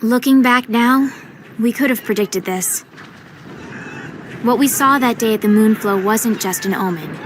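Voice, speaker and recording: A woman's voice: a little high, measured pace, pauses often, slight background noise, close to an everyday voice. An actress playing a brash, sardonic young woman in an audio drama. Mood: grave. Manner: blunt, sardonic.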